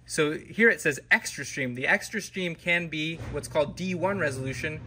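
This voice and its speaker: Male product-tutorial presenter, slightly high pitch, very bright voice, informal step-by-step delivery. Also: a little hiss or hum. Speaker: tutorial presenter for a security camera company.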